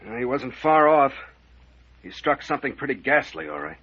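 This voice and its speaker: An actor playing a tough, unflappable private investigator captain in a brisk, wry, hard-boiled old-time radio mystery style. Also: male voice, mid-range voice, fairly bright tone. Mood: cool, matter-of-fact. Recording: muffled, husky old radio recording.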